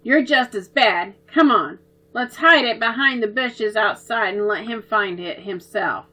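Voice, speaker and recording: A woman's voice: a little high, a little slow, pushed and bright. A woman voicing a bold, impulsive little girl who talks in an eager, bossy way. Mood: defiant.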